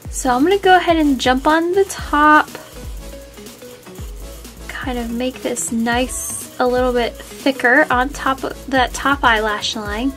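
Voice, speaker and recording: A woman's voice: high-pitched, takes it slowly, fairly bright tone, faint music behind. A woman hosting a step-by-step drawing tutorial, friendly and easygoing, a little sniffly from a cold. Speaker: art tutorial host.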